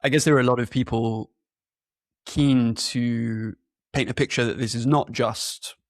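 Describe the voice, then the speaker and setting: A man hosting a conversational interview over a video call, phrasing his questions in a tentative, halting way. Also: mid-range voice, fairly even intonation, dry recording, studio quality. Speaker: podcast host, interviewer.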